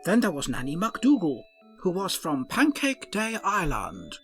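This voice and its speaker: A man's voice: high voice, huge pitch swings, a little slow, a bit bright, faint music behind, exaggerated voice. A man voicing a fussy, earnest male ship's doctor who speaks formally in an odd, blended put-on accent, for a comic audio drama.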